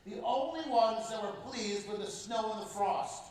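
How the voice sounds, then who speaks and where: A male guest speaker reads a storybook aloud to a congregation, expressive and unhurried. His voice is on the high side. It is heard from a distance across a large, echoing church. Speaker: guest speaker at a parish mission. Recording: echoey church heard from far off.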